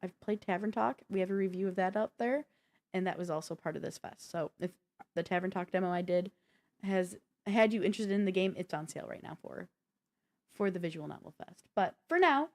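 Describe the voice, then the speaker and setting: A woman's voice: on the low side, a little fast, darkish tone. Woman casually hosting a gaming stream. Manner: chatty, informal, addresses viewers directly. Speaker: host of a gaming news show.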